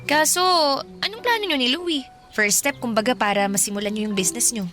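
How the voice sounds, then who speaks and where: A woman's voice: fairly high pitch, a little fast, pushed and bright, soft background music. An actress playing a sweet, teasing girlfriend in her early thirties in a radio drama, chatty and affectionate. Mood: teasing, supportive. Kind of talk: radio drama acting, conversational.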